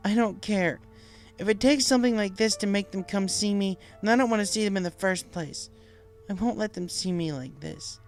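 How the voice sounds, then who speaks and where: Man voicing a bitter young mare, raised pitch. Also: normal speed, faint music behind. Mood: angry, hurt. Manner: sullen, angrily emphatic. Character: young female pony, bitter, wounded, stubbornly defiant. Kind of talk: storybook character voice.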